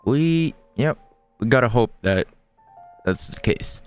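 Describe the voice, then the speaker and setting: A man's voice: mid-range voice, animated, slow pace, music in the background. A man giving offhand, wry, casual commentary as he plays a video game. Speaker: streamer playing a video game.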